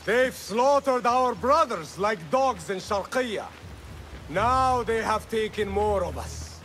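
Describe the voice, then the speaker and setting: Fervent high-voiced rebel leader. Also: male voice, lively intonation, slow, a bit bright, slight background noise, exaggerated voice. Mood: fervent, defiant. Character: fervent male rebel leader rallying freed slaves. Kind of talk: dramatic video game acting.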